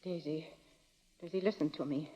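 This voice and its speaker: A woman's voice: sits low, fairly even intonation, normal speed, warm tone, slightly rough, dull audio. An actress playing a nervous, deferential middle-aged landlady in a suspense radio drama, hesitant and apologetic. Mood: hesitant, tense. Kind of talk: 1940s-style suspense radio drama acting.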